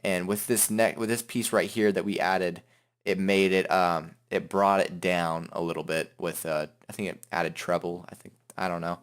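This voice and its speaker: A man hosting a casual, improvised software tutorial, talking in an informal, rambling way. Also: deep voice, steady tone, moderate pace, smooth. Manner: informal, rambling, hums filler syllables while working. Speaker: tutorial host.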